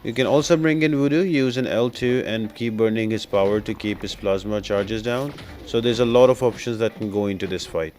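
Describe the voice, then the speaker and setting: A man's voice: on the low side, steady tone, brisk, hardly any pauses, a little mellow, slight background noise. A man hosting a gaming channel, giving instructional guide commentary and addressing viewers directly. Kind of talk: scripted-sounding game guide commentary. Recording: music behind the voice. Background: music.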